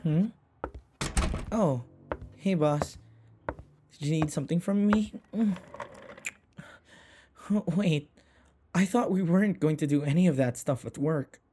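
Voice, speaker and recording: A man playing a vampire's uneasy, devoted human servant in an audio roleplay, speaking in a measured, reflective, journal-like way with echo around the voice. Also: fairly high pitch, dark tone. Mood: meek, surprised. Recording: echoey, long reverb.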